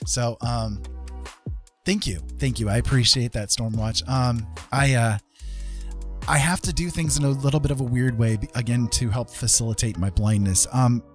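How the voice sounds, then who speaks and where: A man chatting casually on a livestream as an art streamer, rambling along in a gentle, earnest way. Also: mid-range voice.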